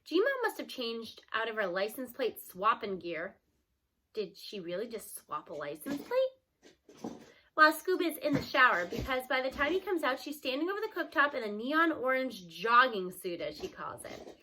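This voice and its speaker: A woman reading a novel aloud, expressive, with lively shifts in tone. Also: on the high side, normal speed, background noise. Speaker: reader.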